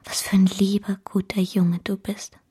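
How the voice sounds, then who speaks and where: A childlike young wife lying gravely ill in a radio drama. Her voice is very breathy and sinks into whispers. She speaks tenderly and faintly, pleading like someone with little strength left. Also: mid-range voice, little pitch movement, moderate pace, warm tone. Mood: tender longing. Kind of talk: German radio drama acting.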